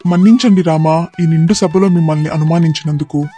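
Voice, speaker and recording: A man playing a stately, courteous king in an animated moral story, with a formal, measured manner. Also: a little high, soft background music, lightly acted. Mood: apologetic.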